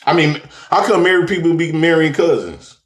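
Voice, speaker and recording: A man's voice: on the high side, expressive, normal speed, roomy sound. A man bragging about himself in a casual group chat, laughing often and telling stories animatedly. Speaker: panel guest.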